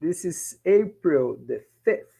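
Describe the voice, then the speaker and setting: A Brazilian professor with a Brazilian Portuguese accent, teaching a class in English, often checking in with "okay?" and repeating points in Portuguese. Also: male voice, on the high side, expressive, slow, gravelly, warm tone, breathy edge. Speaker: university professor. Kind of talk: bilingual class lecture.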